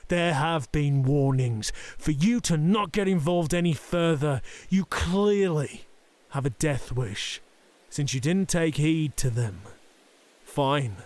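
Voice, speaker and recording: A man's voice: fairly high pitch, a little mellow. A man playing a cruel, domineering middle-aged Yakuza boss and father in an audio drama, taunting and threatening. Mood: scornful fury. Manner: taunting, threatening, slow deliberate delivery. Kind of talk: ASMR roleplay audio drama acting.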